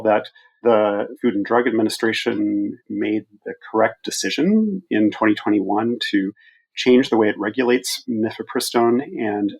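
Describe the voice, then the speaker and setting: A male physician researcher talking through evidence in an interview, deliberate and stressing key words. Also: medium pitch, vocal fry, husky, poor audio.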